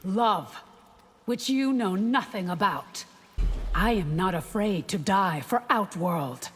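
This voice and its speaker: An actress playing a fiercely loyal, defiant warrior guard in a video game, with a husky voice. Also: female voice, mid-range voice, lively intonation, slow, soft background music. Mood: resolute. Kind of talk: video game fight-intro dialogue. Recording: long hall-like reverb.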